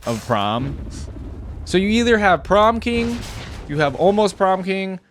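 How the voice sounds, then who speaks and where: Casual male reactor, animated swings in pitch. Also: a little slow, a little hiss or hum. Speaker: reactor, video creator. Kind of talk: casual off-the-cuff reaction commentary.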